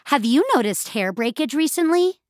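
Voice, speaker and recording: A woman's voice: sits high, expressive, normal speed, no echo, studio quality, soft background music, pitched above the performer's own voice. A woman voicing a coaxing, instructive fitness app assistant in an audio drama, matter-of-fact in manner.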